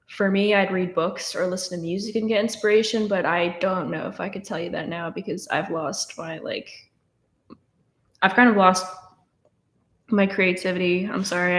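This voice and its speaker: A nineteen-year-old woman casually answering chat questions on a live stream, with lots of fillers and restarts. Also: mid-range voice. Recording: roomy echo.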